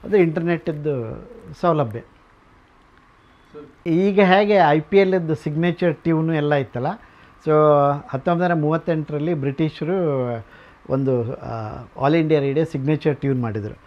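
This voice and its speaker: A man's voice: on the high side, unhurried, long pauses, dull audio. A man giving an informal explanatory tour as a museum owner, mixing English phrases into his speech.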